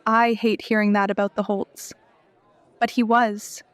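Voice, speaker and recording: An actress playing a grieving, candid young woman in an audio drama, speaking in a halting, earnest way. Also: mid-range voice, level tone, no echo, lightly acted. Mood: earnest grief.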